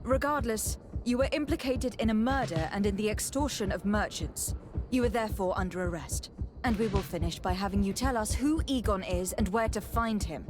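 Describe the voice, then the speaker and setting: A woman's voice: medium pitch, moderate pace, noisy background, close to an everyday voice. Firm, formal noblewoman investigator played by an actress. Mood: stern. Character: resolute young noblewoman investigator, protective and commanding. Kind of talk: video game voice acting.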